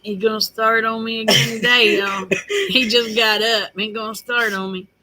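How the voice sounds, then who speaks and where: A woman's voice: a little high, little pitch movement, normal speed, bright, forceful tone, rough recording. An older woman, rambling in a folksy, casual chat with her viewers. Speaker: vlogger.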